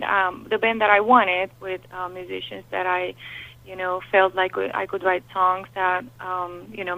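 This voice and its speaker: A young woman with a Romanian accent, a lead singer telling her story in a phone interview, candid and animated with lots of fillers. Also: mid-range voice, no room sound. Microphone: telephone. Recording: tinny phone line, dry.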